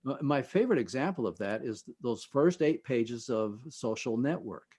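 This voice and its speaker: Male podcast guest and instructor, mid-range pitch, measured explanatory delivery in casual conversation, pausing between phrases. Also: expressive, dark tone, breathy edge. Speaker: podcast guest, screenwriting teacher. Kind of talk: conversational expert interview.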